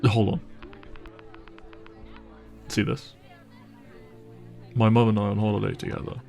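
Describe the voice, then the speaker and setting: A man playing a wealthy, caring young boyfriend in an intimate audio roleplay, speaking in a gentle, hesitant and reassuring way. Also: on the low side, level tone, a little slow, creaky, faint music behind, a faint crowd. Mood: earnest, explaining.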